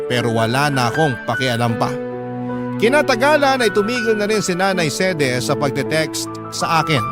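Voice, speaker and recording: A man narrating a scripted first-person story as the narrator of a radio drama. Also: on the high side. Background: loud music.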